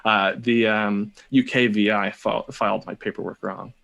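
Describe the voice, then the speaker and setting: A young American man telling a personal story casually as a podcast guest, in a hesitant, halting way. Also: fairly low pitch, fairly even intonation, creaky, fairly bright tone. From United States, California.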